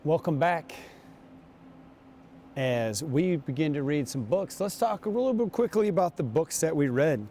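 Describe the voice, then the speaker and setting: Guy doing storytime for kids, takes his time, soft mellow voice, bit of background noise. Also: fairly high pitch. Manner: unhurried storytelling with pauses. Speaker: guest reader, basketball coach. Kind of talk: reading a picture book aloud to children.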